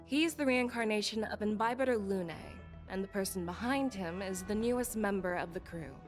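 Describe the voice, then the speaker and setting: Actress as assured female general for a video game: mid pitch, formal, shrewd, probing, music underneath. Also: a little fast, lightly acted. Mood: assured, courteous.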